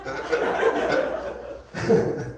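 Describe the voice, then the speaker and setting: A man's voice: extremely slow, a little hiss or hum. A man in his sixties doing a rambling, self-mocking stand-up set on a comedy club stage, fired up and bantering with the crowd. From Florida. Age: 60s. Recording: echoey club from a distance with crowd. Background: audience laughing.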